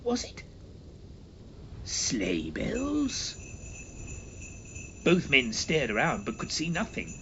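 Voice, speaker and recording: Male narrator for mock-serious horror tale, pompous and animated, on a slightly muffled recording. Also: a little high, very slow, gravelly, pauses often, a little hiss or hum. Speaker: story reader and performer. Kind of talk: dramatic scripted narration of comic horror.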